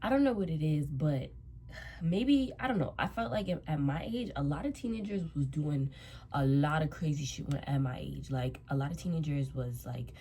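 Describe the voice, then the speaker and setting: A woman in her twenties talks in a low, mellow voice, her nose stuffed up from a cold. She rambles through a casual storytime, circling back and repeating herself while she does her makeup. A faint hum sits behind her. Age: 20s. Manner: rambling, repeats herself, loose slangy talk. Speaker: vlogger.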